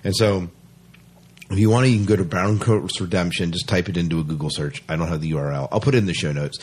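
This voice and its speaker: Husky low-voiced man podcasting. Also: fast, a little mellow. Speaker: podcast host reviewing a fan film. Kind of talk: casual chat and review.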